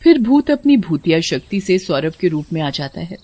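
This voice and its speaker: A woman's voice: lowish voice, big pitch swings, normal speed, dark tone, slightly rough. A woman giving scripted narration for a cartoon story.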